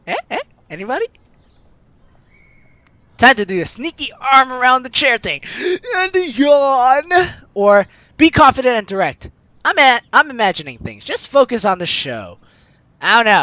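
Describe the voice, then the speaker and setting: Lively, bright-voiced young woman gamer. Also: fairly high pitch, normal speed, no room sound. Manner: theatrical, teasing asides. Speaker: gaming video host. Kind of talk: animated let's-play read-aloud and commentary.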